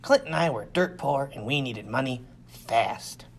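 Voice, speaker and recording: A man's voice: medium pitch, animated, unhurried. A man reading a first-person science fiction adventure story as scripted audiobook narration. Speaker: audiobook reader.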